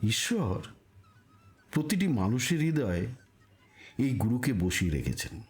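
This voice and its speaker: Slow, expressive man narrating devotional reflections. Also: medium pitch. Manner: solemn, deliberate, rhetorical pauses.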